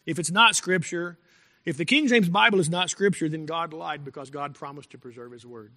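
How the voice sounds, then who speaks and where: Bright-voiced pastor preaching in an echoing hall. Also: male voice, fairly high pitch. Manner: deliberate and emphatic, repeating key phrases; clears throat now and then. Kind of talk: sermon. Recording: long echo from a large hall.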